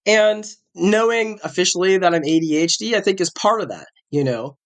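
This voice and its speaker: Man in his forties, podcast host, a bit sick, fairly high voice, rambling casual chat. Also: lively intonation, normal speed. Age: 40s. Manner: rambling, self-correcting, chatty. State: slightly sick, with a cough.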